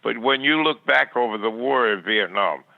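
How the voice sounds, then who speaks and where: A man's voice: mid-range voice, expressive, moderate pace, creaky, dry recording. Older man on a tinny phone line. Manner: rambling, plainspoken, stammering repetitions. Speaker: podcast guest. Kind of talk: reminiscing in interview.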